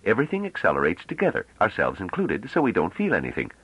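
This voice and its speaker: A man reading a novel aloud, voicing a gentle, courteous older male scholar. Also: fairly low pitch, huge pitch swings, quick pace, husky, dry recording, pitched above the performer's own voice, full character voice. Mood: mild. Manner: mild, courteous. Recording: dull lo-fi dry audio.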